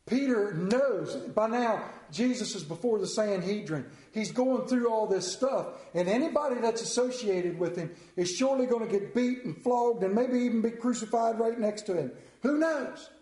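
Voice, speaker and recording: Male preacher, Central Maryland accent, folksy storytelling sermon, mellow voice, echoey sanctuary. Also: sits high, expressive, moderate pace. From Central Maryland, United States. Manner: folksy, tells stories with personal asides.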